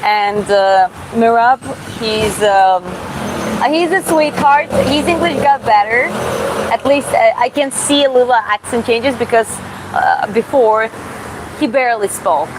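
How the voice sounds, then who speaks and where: A woman from Georgia who streams and boxes, reading viewer comments aloud in a live Q&A and answering casually, often pausing and restarting to find her words. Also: on the high side. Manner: casual, halting, searches for words with many restarts. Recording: steady background hum.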